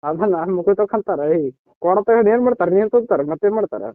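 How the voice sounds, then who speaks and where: High-pitched North Karnataka man, baffled, on phone. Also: lively intonation, quick pace. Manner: baffled, exasperated, protesting. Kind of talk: casual phone chat. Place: phone call. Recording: dull, band-limited phone call.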